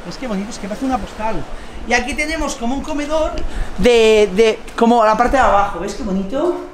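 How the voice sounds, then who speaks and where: A man in his thirties with a Spain Spanish accent, chatting casually and excitably as a playful family vlogger. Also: very high-pitched, a little fast. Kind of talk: casual vlog chat. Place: seaside house terrace and pool, outdoors. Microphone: handheld camera mic. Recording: noisy outdoor action-camera audio.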